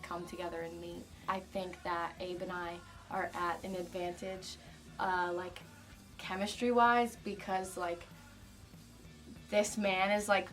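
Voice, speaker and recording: A woman in her twenties from Connecticut talks casually about her band, tossing in "like" constantly. Her voice is bright, and the mic sits some distance away, with faint music underneath. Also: on the low side. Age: 20s. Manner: chatty, filler-heavy with frequent "like". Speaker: band singer. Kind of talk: casual documentary interview.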